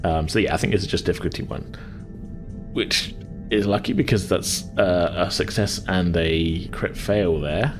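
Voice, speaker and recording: A man with a low voice runs a tabletop roleplaying game as game master. He explains in a chatty, halting, improvised way, with clear music playing behind him. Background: background music.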